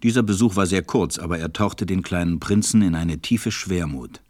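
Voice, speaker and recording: A man narrating a scripted children's story in a German radio play, with measured storytelling. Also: low voice, darkish tone, no echo, clean studio sound.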